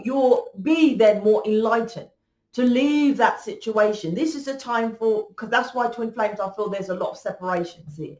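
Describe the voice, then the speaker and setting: A woman giving a rambling tarot reading, blunt and swearing freely. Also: fairly high pitch. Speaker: tarot reader. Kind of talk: casual tarot reading monologue. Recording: echoey room.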